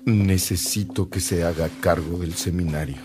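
A man plays a dignified bishop in a radio drama. His low voice is calm and formal, giving instructions with earnest care. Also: normal speed, over music, close to an everyday voice. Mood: earnest, resolute.

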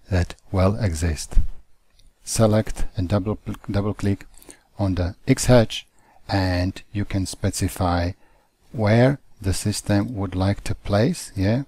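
A man who does not speak natively, teaching CAD software in a halting, careful way over a screen recording. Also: fairly low pitch, dry recording. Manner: slow, halting phrasing with non-native grammar.

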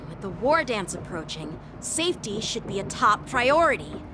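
A woman's voice: medium pitch, sing-song, moderate pace, noisy background, close to an everyday voice. A woman playing an earnest, dutiful young boy lieutenant in a video game, polite and composed. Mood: stern, questioning.

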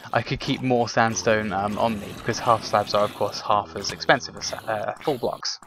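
A man's voice: on the low side, background noise. A young man giving casual, rambling commentary while he plays a video game, talking to himself and to his viewers. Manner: chatty, rambling, frequent ums and self-talk. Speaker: gaming commentator. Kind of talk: casual let's play commentary.

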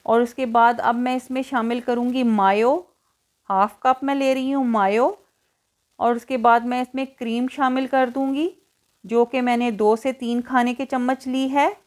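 A woman's voice: on the high side, steady tone, measured pace. A woman hosting a cooking tutorial in voice-over, explaining each step in an instructional way. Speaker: cooking show host.

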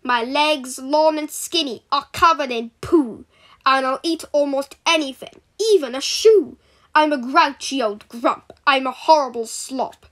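A woman's voice: high-pitched, unhurried, a bit bright. A woman voicing a grouchy, hunched old stork who speaks in rhyme. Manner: grumbling, rhyming.

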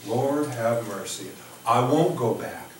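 A middle-aged man from Oklahoma with an American accent, preaching an informal sermon in a halting, reflective way with emphatic pauses. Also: mid-range voice, crowd noise. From Oklahoma, United States. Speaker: pastor preaching. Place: small church sanctuary, indoors. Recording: echoey church, distant microphone, faint noise.

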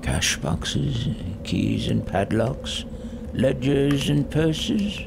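An actor in an audio drama playing a frightened, crotchety old Victorian miser, stammering and theatrical. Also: male voice, medium pitch, expressive, gravelly, noisy background, exaggerated voice. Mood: pleading, awed. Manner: stammering, halting, theatrical, swooping delivery. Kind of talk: audio drama acting of a Victorian ghost story.

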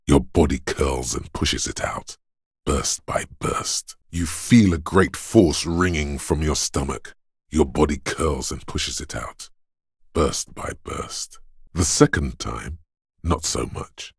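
An actor voicing the brooding inner voice of a detective's body in a video game, gravelly and husky, speaking gravely and deliberately with theatrical weight. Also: male voice, rumbling low, no room sound, clean studio sound, exaggerated voice. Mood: grim, heavy. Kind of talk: scripted game narration in second person.

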